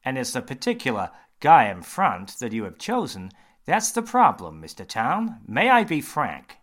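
A man voicing an eager, grinning male surgeon who talks brightly and bluntly. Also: medium pitch, huge pitch swings, voice pushed up. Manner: bright, emphatic, quick.

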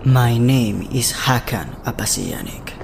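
A man's voice: medium pitch, slow pace, creaky. An actor playing a proud captive human rebel in a sci-fi audio drama, bitter and contemptuous as he speaks. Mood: bitter defiance. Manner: spitting, contemptuous. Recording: faint background noise.

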